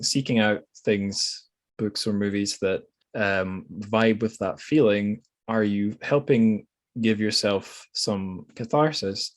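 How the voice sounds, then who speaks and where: Reflective man with a lowish voice, podcast hosting. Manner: hesitant, reflective, self-correcting. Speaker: podcast host. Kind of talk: casual literary podcast discussion.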